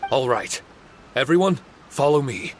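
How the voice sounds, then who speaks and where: A man voicing an earnest spirit guide in an anime video game, speaking in a measured way. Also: medium pitch, lively intonation, very noisy, close to an everyday voice. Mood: calm, assured. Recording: game music behind the voice.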